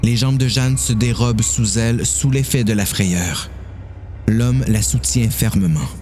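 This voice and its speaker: A man's voice: medium pitch, measured pace, darkish tone, faint music behind. A man reading a dramatic story as narrator.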